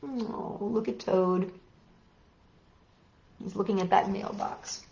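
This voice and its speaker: A woman hosts a children's storytime with gentle, affectionate chat between bits of reading. Her voice has an everyday middle pitch. The sound is roomy and a little dull, like a lo-fi home recording. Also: slow, vocal fry. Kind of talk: storybook read-aloud with chatty asides to children.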